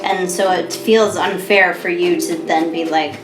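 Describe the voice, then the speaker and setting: An American woman in her thirties co-hosting a podcast, chatting casually with clear, careful diction and frequent laughter. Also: lowish voice, steady tone, measured pace. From United States. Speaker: podcast co-host. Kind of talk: casual chat. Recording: echoey room with music behind.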